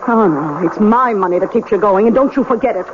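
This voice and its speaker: An actress plays a sharp-tongued, tight-fisted sister-in-law in an old radio play. She nags and orders people about in a commanding way. The recording is dull, with clear noise behind her. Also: mid-range voice, quick pace, lightly acted. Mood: scolding. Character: bossy, penny-pinching, scolding sister-in-law. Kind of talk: vintage radio drama acting.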